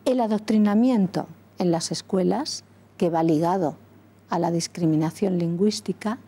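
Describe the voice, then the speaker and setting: A middle-aged woman from Catalonia, a former school principal, answering interview questions earnestly and deliberately, pausing and correcting herself often. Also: fairly low pitch, warm tone, breathy edge. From Catalonia, Spain. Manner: deliberate, with frequent pauses and self-corrections.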